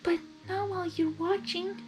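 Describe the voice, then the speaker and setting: Woman voicing a timid high-pitched pony. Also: steady tone, normal speed, faint music behind. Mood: timid, nervous. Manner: soft, hesitant, stammering. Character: shy, gentle female pegasus pony, sweet and timid.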